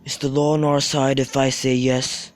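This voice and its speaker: A man's voice: medium pitch, little pitch movement, normal speed, dry recording, close to an everyday voice. A man playing a bragging, crude young servant itching for a brawl in an amateur student radio drama. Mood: provoking.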